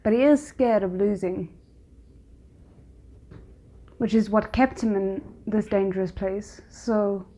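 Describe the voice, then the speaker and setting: A woman's voice: medium pitch, normal speed, dark tone. A woman giving casual, reflective reaction commentary with an analytical way of explaining things. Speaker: reaction video host.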